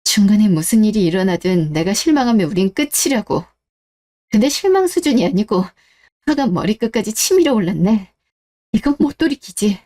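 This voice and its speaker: A woman's voice: mid-range voice, very animated, moderate pace, warm tone, close to an everyday voice. A woman playing a fed-up wife in a melodramatic radio drama, firm, cold and sarcastic. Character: cold, resolute, fed-up wife standing up for herself.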